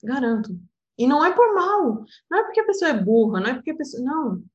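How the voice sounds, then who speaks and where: Animated Portuguese woman, fairly high voice. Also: fast talker, lo-fi sound. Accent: European Portuguese. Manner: personal, animated, laughs often, fillers like "sabe?". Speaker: interpreter and guest. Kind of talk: reflective casual conversation.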